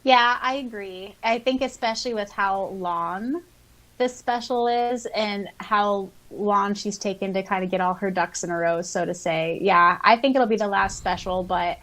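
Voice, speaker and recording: A woman's voice: mid-range voice, measured pace, a bit bright, poor audio. A woman co-hosting a podcast in casual chat, giggly and playfully dramatic. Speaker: podcast co-host.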